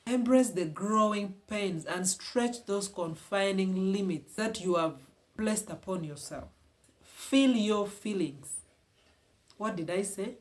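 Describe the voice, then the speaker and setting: A woman's voice: medium pitch, takes it slowly. A woman with a Ugandan accent giving earnest heart-to-heart advice to her viewers, often repeating phrases for emphasis. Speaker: vlogger giving relationship advice. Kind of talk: casual advice talk to camera.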